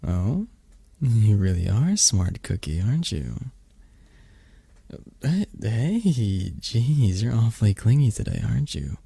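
A man playing a teasing, affectionate young dragon boyfriend in an intimate ASMR roleplay, speaking softly and haltingly with breathy cooing. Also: on the low side, very animated, dry recording, full character voice. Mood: affectionate, playful.

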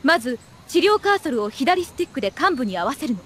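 A woman voicing a composed, firm medic in a video game, giving clear scripted instructions to a wounded soldier. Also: high-pitched, big pitch swings, quick pace, fairly bright tone, a little hiss or hum. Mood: calm, instructive.

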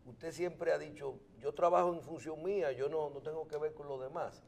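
Halting, higher-pitched Dominican male interviewer. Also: steady tone, gravelly, a little mellow. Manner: halting, roundabout phrasing with repeated words. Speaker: interviewer on a political talk show. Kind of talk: political interview questioning.

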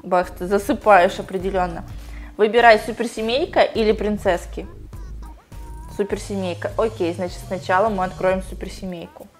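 A woman's voice: mid-range voice, fairly even intonation, normal speed, faint music behind. Playful young woman chatting in a bathroom. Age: young adult. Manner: chatty, playful, talks to her pug and viewers. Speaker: vlogger. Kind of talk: casual vlog chat unboxing toys. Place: small tiled home bathroom, sitting in a bathtub. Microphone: small lapel mic.

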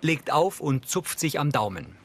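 A man's voice: a little high, big pitch swings, unhurried, noisy background. A man reading scripted audio description in a neutral, matter-of-fact way as the narrator.

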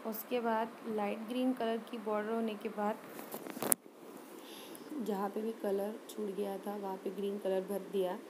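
A woman gives an unscripted step-by-step craft demonstration, hesitant and pausing often. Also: mid-range voice, flat delivery, background noise. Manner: halting, hesitant, with frequent pauses. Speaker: craft tutorial host.

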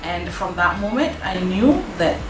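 A woman's voice: lowish voice, expressive, a little slow. A woman from the Cayman Islands, an air traffic controller, giving a personal account in an interview. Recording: roomy echo. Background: background music.

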